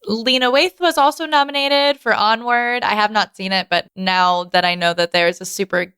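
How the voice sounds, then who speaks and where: A woman's voice: mid-range voice, fairly even intonation, moderate pace, a bit bright, smooth, no room sound. A woman co-hosting a podcast in casual, playful banter with a giggly delivery. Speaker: podcast co-host.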